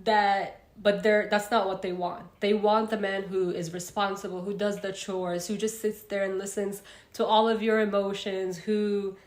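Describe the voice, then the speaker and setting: A woman's voice: mid-range voice, level tone, normal speed. Chatty young woman in a roomy echo. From Egypt. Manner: chatty, reads out viewer comments, frequent fillers. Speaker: self-help host. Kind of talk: casual livestream talk.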